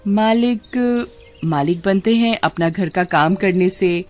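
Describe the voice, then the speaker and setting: A woman's voice: mid-range voice, slow, darkish tone, close to an everyday voice. A woman voicing a scheming stepmother who puts on doting, coaxing sweetness in a cartoon story. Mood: sugary, coaxing. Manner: coaxing, sweet-talking, falsely wounded. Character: scheming stepmother putting on sugary, doting affection.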